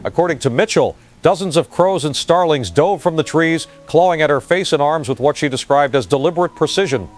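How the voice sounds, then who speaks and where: A man's voice: a little high, brisk, hardly any pauses, soft background music, natural voice. A man playing an earnest TV field reporter, giving a formal scripted live report. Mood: earnest, serious.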